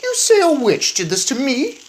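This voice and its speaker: A woman acts out a greedy little storybook mouse who was a boy, loud-mouthed and easily offended. The voice jumps high and swings widely as it blusters and protests, with some room noise behind it. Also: wildly swooping pitch, normal speed. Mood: curious.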